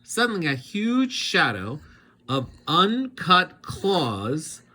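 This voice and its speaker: A man narrates a children's story with very lively, swooping pitch. He takes his time, reading dramatically to build suspense. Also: slow. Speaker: read-aloud teacher narrating. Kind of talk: children's storybook read-aloud.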